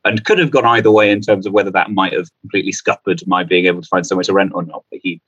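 Dull sounding dry recording of a deep voiced guy, comedian rambling casually, self-deprecating. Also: fairly even intonation, rapid-fire, fairly bright tone, husky, dry recording. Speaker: comedian guest. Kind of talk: casual chat, anecdotal.

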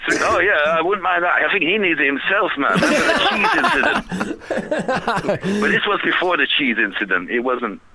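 A man's voice: high-pitched, huge pitch swings, normal speed, a crowd in the background, low-quality recording. A man calling in to a radio show, spinning swaggering, slangy tall tales. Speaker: caller. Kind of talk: radio phone-in banter.

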